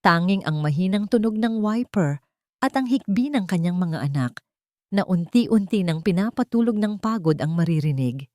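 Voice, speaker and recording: Female story narrator, lower register, dry close sound, dramatic scripted delivery. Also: expressive, moderate pace, a little mellow, no echo.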